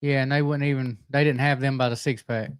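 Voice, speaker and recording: A man's voice: medium pitch, flat delivery, fast talker, smooth. A man co-hosting a podcast, chiming in informally with short casual remarks. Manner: informal, brief interjections. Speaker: podcast co-host. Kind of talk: casual chat.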